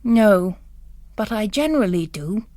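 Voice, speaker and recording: Lady doing a tired gentle priest guy, formal and dryly gloomy, audiobook, slow pace. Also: mid-range voice, expressive, no echo, natural voice. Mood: gentle, puzzled.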